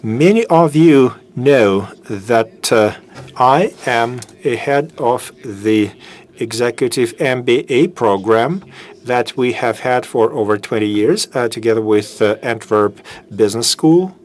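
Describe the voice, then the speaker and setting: Slow, halting man welcoming an audience. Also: mid-range voice, animated. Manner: slow and halting, with many filler pauses. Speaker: business school program head introducing a guest speaker. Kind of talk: formal welcome speech at a book launch. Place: lecture room with an audience. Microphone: handheld microphone.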